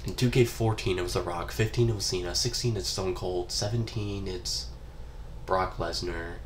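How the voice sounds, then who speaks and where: A man's voice: sits low, fairly even intonation, normal speed, a little hiss or hum. A young man with a congested, stuffy voice from a cold, rambling casually about a video game and swearing now and then. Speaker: gaming YouTuber. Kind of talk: casual solo gaming commentary.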